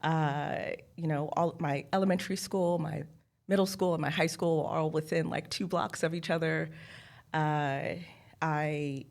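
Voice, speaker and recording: A woman's voice: sits low, steady tone, unhurried, creaky. An American woman speaking as an interview guest, telling personal stories casually and acting out bits of dialogue. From San Jose, California, United States. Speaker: interview guest, corporate executive.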